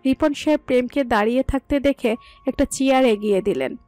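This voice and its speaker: A woman's voice: sits high, a little fast, darkish tone, no echo, soft background music. A woman reading a scripted story as its narrator.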